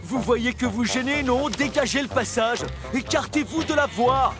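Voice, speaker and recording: A man voicing a grumpy, quick-tempered miniature locomotive with an indignant, huffy manner in a cartoon dub. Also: very high-pitched. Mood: irritated. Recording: music behind the voice.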